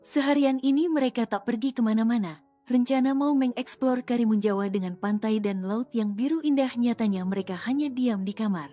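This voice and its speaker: Female narrator, high-ish voice, mellow dark tone, soft background music. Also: fairly quick. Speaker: audio novel narrator. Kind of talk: scripted story narration.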